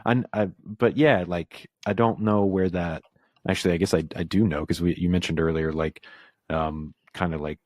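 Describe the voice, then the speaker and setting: A man with a very low voice, speaking as a guest on a podcast. He grew up in the American South and talks in a halting, reflective way, full of "like" and "um". The sound is dry and close, with no room around it. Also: steady tone, darkish tone, dry recording. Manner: reflective, halting, filler-heavy. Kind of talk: casual conversation.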